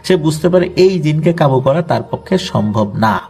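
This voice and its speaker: Bangladeshi guy telling a horror story, casual, bit creaky voice, soft music under it. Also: on the high side, lively intonation, normal speed. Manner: explanatory, with colloquial asides. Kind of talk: conversational ghost storytelling.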